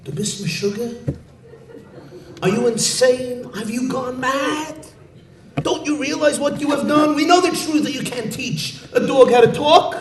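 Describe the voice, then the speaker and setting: Panicky scolding elder, as a man storyteller puts him on, high and shrill, echoey room. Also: a little slow. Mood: panicked. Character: frantic older male community leader, scolding. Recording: echoey room, mic far off, faint background noise.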